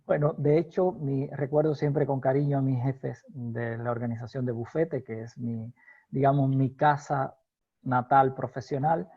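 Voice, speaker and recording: Profesor cubano de mediana edad, audio apagado. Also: male voice, mid-range voice, little pitch movement, dark tone, breathy edge, no room sound, muffled recording. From eastern Cuba. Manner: thoughtful, with frequent "eh" pauses. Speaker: law professor, interview guest. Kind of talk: measured academic answers.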